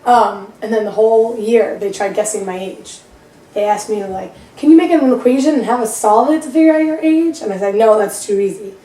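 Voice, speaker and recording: Mellow-voiced young woman teacher guest. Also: on the high side, fairly quick, echoey room, far from the mic, low-quality recording. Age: 20s. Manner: laughs easily, self-deprecating, rambling. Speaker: guest, middle school math teacher. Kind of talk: casual chat.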